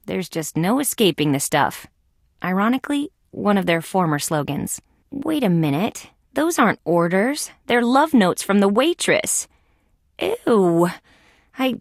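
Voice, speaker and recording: A woman voicing a young, wisecracking female insect police detective in a video game, with sardonic, deadpan quips. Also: medium pitch, no echo, clean studio sound.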